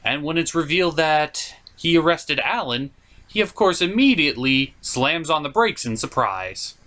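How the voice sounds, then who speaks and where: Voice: mid-range voice, very animated, normal speed, sharp tone. A reviewer giving a scripted comedic movie recap in a sarcastic, mock-exasperated way. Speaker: host reviewer.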